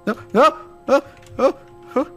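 A man's voice: medium pitch, wildly swooping pitch, a little fast, soft background music. A man playing a playful, sarcastic and easily flustered adventurer in a video game roleplay. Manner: sarcastic, comic. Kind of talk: improvised Minecraft roleplay.

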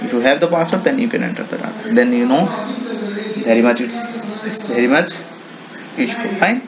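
A man with an Indian accent presenting an informal software walkthrough in halting, repetitive, non-native English phrasing. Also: medium pitch, very animated, a crowd in the background. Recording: noisy home-made screen recording.

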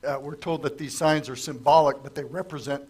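A middle-aged man preaches from a lectern in a church sanctuary, asking the congregation questions as he works through scripture. His voice sits a little higher than most men's. He is losing it, so it comes out strained in places. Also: fairly even intonation, fairly quick, a little mellow, breathy edge. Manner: conversational, asks the congregation questions. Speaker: pastor. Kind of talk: sermon, verse-by-verse Bible teaching.

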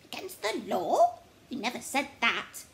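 A woman voicing a brash, boastful, easily wounded little creature in a children's Christmas story, loud and theatrical. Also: fairly high pitch, wildly swooping pitch, unhurried, creaky, full character voice. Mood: eager. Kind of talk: children's story character reading.

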